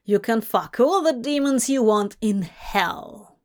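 A woman's voice: a little high, animated, slow pace, darkish tone. A woman voicing a furious, hateful male archangel in a story reading, growling and mocking as he threatens. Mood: snarling. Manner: growling, snarling, roaring. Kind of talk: dramatic audiobook-style fiction reading.

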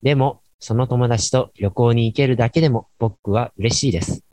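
A man's voice: medium pitch, normal speed, mellow, lightly acted. A man playing a laid-back late-night radio host and dry straight man in an amateur radio drama. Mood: relaxed, wry.

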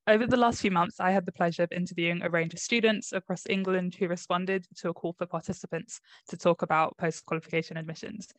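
Low-voiced woman podcast co-host. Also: dry recording. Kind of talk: scripted podcast narration and reflective chat.

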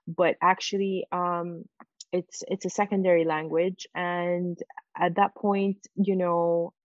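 A woman with a fairly low voice tells her story in a close, dry recording with a muffled, lo-fi edge. Her first language is Farsi. She talks reflectively and hedges often with "sort of" and "you know". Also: little pitch movement, slow pace, no room sound. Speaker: guest. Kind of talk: personal story in an interview.

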